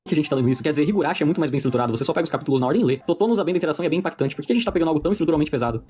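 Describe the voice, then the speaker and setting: A man's voice: fairly high pitch, lively intonation, very fast, a little mellow. A Brazilian man reading informal scripted dialogue in a video essay.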